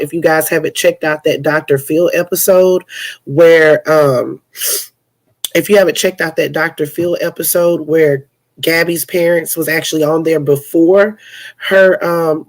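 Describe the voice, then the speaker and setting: Sassy, chatty stuffy-nosed podcast host. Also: female voice, deep voice. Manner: sassy and chatty, addresses listeners as players and honey. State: stuffy from a cold. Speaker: podcast host and news commentator. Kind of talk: casual news commentary podcast.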